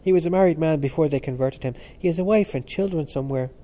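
A man reading as a sly, quick-talking young student. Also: mid-range voice, fairly even intonation, warm tone, close to an everyday voice.

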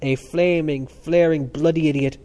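A man voicing a rough, scornful young male student who swears bluntly and loudly. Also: fairly high pitch, steady tone, moderate pace, natural voice.

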